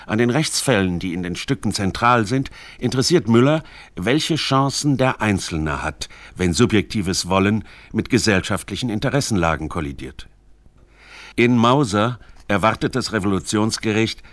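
Brief: male documentary narrator, lowish voice, formal and precise scripted read, very dry studio sound. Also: no echo. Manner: measured, precise, formal delivery. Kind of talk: scripted radio feature narration.

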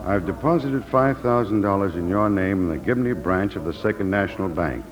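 A polite, easily flustered clerk speaks formally in a low, animated voice. The performance is 1940s radio drama, with long reverb around it. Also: male voice, a little fast, dull audio, a crowd in the background. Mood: measured reading. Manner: deferential, formal. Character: polite, increasingly flustered male bank teller. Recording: reverberant old radio broadcast.

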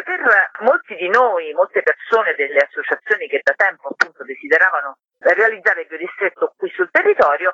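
A woman being interviewed on the radio as a committee member, explaining conversationally and pausing mid-phrase to find her words. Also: on the low side, pushed and bright, husky, no echo. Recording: dull, band-limited phone-like line.

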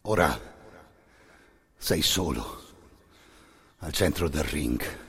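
Husky male dramatic storyteller with long reverb. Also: a bass voice, animated, fairly bright tone. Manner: incantatory repetition, intense phrasing with dramatic pauses. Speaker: actor narrating a stage monologue. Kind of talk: dramatic second-person storytelling, radio theatre. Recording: long reverberant hall sound.

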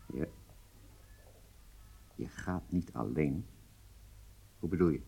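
An actor playing an agitated, businesslike intelligence handler in a radio drama, with halting delivery and emphatic outbursts. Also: male voice, very deep voice, gravelly, dark tone, breathy edge, full character voice. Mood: stunned, exasperated.

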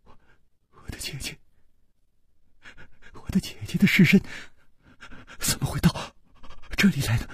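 A man's voice: a little high, expressive, a little slow, pauses often, slightly rough, no echo, pitched above the performer's own voice, heavily acted. A man voicing a frightened, bewildered young character in a martial-arts audiobook, trembling and stammering with grief.

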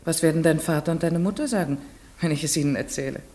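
A husky village schoolteacher. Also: female voice, low-pitched, quick pace, mellow. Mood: questioning. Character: young unmarried female village teacher, strict but kind. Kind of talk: 1950s radio drama acting with first-person narration.

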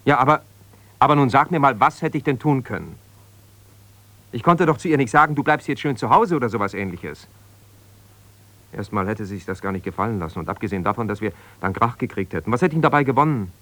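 An actor playing an aggrieved, jealous husband, an ambitious lawyer, in a naturalistic radio drama, talking in a halting, colloquial, self-justifying way as if confiding on the phone. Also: male voice, fairly low pitch, fairly bright tone. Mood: defensive, sour.

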